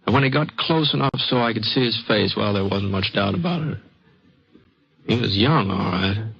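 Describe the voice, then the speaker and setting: An actor playing a mild, reluctant American gunfighter with a hesitant, folksy way of talking, in an old-time western radio drama. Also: male voice, on the low side, lively intonation, moderate pace, creaky, slightly rough, no echo, exaggerated voice. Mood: reflective narration. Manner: hesitant, folksy, understated drawl. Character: mild, reluctant middle-aged western gunfighter telling his tale. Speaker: actor and narrator. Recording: dull vintage radio recording, music behind.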